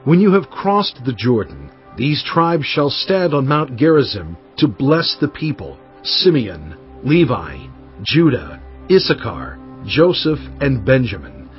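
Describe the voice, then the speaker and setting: A man playing a solemn, commanding Hebrew prophet and lawgiver in a dramatized audio Bible, declaiming deliberately to his people. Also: medium pitch, big pitch swings. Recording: dull band-limited audio over music. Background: background music.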